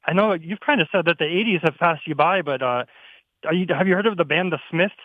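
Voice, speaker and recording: 30s guy from minneapolis calling in, kinda high voice, thin phone-line sound. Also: fast, dry recording. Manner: friendly, jokey, hesitant fillers. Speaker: caller. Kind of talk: phone call to a radio show.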